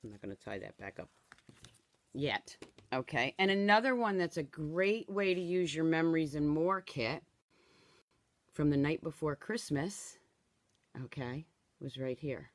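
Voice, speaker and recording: A woman in her sixties leads a friendly live stamping demonstration at her craft table. Her voice is a little hoarse from a cold, and her delivery is chatty and easygoing. The sound is dry and close, with no room echo. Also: low-pitched, animated, measured pace, long pauses. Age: 60s. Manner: chatty, tags instructions with "okay?". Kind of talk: live-streamed craft tutorial.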